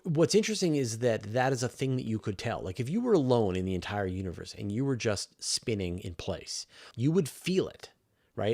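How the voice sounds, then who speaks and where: A man's voice: lowish voice, darkish tone. A man hosting a livestream, answering questions in a casual, conversational explainer style with everyday examples. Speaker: host of a space Q&A livestream.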